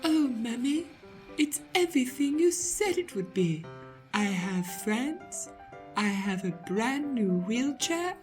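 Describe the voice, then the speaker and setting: A woman's voice: a little high, very animated, slow pace, darkish tone, faint music behind. A woman playing a sweet, wide-eyed little child in an improvised comic radio play. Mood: dreamy wonder.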